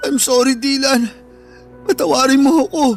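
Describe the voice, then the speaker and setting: An actor playing an emotional, protective older brother with a Filipino accent in a radio drama, pleading and earnest. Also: male voice, very high-pitched, fairly even intonation, moderate pace. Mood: anguished remorse. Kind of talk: Tagalog radio drama acting. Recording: background music throughout.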